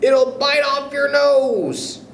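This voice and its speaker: A man's voice: very high-pitched, extremely slow, slight background noise, pitched above the performer's own voice, exaggerated voice. Guy putting on a mean old storybook husband, taunting, drawn out words, echoey room. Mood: mock alarm. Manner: taunting, exaggerated. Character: nasty, scheming beer-drinking old husband. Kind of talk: children's storybook character voice.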